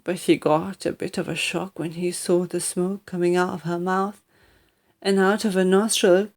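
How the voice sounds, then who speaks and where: A woman reading a children's story aloud in a deliberate, dramatic and expressive narrating style. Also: on the low side. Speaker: audiobook reader.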